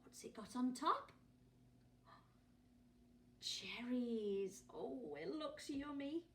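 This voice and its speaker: A woman's voice: high voice, animated, drawn-out words, a little hiss or hum. A woman, a primary teacher reading a picture book to young children, asking them questions expressively. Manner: asks listeners questions, gasps and whispers expressively. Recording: roomy echo, distant microphone.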